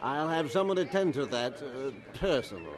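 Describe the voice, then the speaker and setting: Actor as a lively, courteous colonial official. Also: male voice, a little high, fairly quick, natural voice. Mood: welcoming, eager. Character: courteous, eager, deferential male colonial governor's aide. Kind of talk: audio drama acting.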